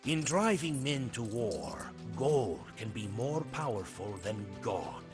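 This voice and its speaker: A man's voice: mid-range voice, very animated, slow pace. A man playing a proud Portuguese seafarer, narrating a strategy game's storybook campaign in a grave, theatrical manner. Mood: grave, scornful. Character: proud Portuguese seafarer recounting his captain's conquests. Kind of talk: dramatic scripted storybook narration for a strategy game. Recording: studio voice over prominent music.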